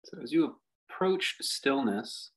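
A man leading a guided meditation as a teacher, speaking reflectively and leaving long pauses. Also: mid-range voice, animated, a bit bright, slightly rough, poor audio.

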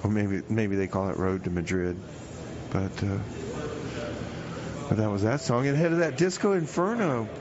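A man's voice: lowish voice, moderate pace, long pauses, highs cut off, crowd noise, close to an everyday voice. A man playing a nervous, easily distracted jailed radio DJ in a comedy skit, rambling and stalling as he hosts. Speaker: radio show host.

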